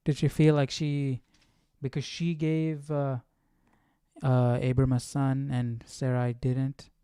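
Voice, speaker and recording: Guy thinking out loud on a study stream, smooth clear voice, real dry close sound, asks himself stuff. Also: medium pitch, little pitch movement, dry recording. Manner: musing, questioning, halting as he works out thoughts. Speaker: host of a live Bible study stream. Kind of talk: casual reading commentary, thinking aloud.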